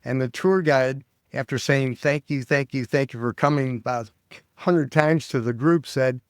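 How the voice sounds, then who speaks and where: An older American man, a public speaking coach, chatting as an interview guest and telling stories with lively quoted voices. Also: medium pitch, moderate pace, no echo, clean studio sound. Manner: animated storyteller, acts out quoted voices. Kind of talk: casual interview chat.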